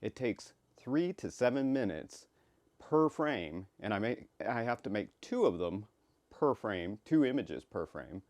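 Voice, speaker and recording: Man, low pitch, casual creator commentary, halting and rambling, no room echo. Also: big pitch swings, darkish tone. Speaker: creator introducing his comic.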